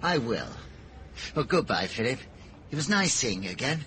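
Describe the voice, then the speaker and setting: An actor playing a genial, slightly hesitant middle-aged gentleman in a radio drama, polite in manner. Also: mid-range voice, very animated, moderate pace, a little hiss or hum. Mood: hesitant, friendly.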